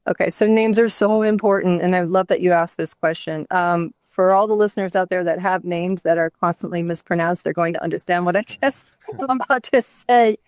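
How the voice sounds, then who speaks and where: A middle-aged woman from New Mexico, a somatic therapist talking reflectively in an interview, with many pauses and ums. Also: lowish voice, darkish tone, no echo, clean studio sound. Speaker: guest, somatic therapist and author. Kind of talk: candid interview conversation.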